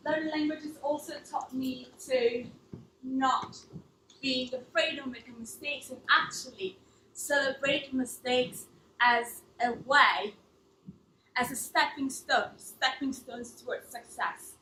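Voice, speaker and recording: A woman's voice: high voice, fairly even intonation, slow pace, sharp tone, slight background noise. A woman in her thirties from Italy, speaking English with an Italian accent, giving an earnest storytelling talk as a language teacher, with small non-native slips. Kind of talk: storytelling talk to a live audience. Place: theatre stage. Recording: echoey hall, mic at a distance.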